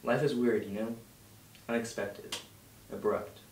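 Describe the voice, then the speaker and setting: A man's voice: lowish voice, steady tone, a little slow. A young man reading his part at a table read and chatting casually. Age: young adult. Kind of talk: script read-aloud and casual chat. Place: home dining room. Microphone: far camera. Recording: distant mic, echoey noisy room.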